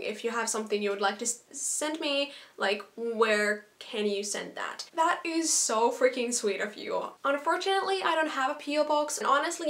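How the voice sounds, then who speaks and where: A young woman with a Finnish accent talks straight to a camera set close in front of her in a small bedroom. Her voice sits a little high, and her manner is chatty and earnest. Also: a bit bright. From Finland. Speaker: YouTuber. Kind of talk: casual talk to camera. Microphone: camera in front at close range.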